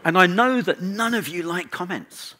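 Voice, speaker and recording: A man's voice: high-pitched, animated, normal speed, pushed and bright. A man speaking as a conference presenter, informal and conversational, with wry asides. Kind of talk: conference talk.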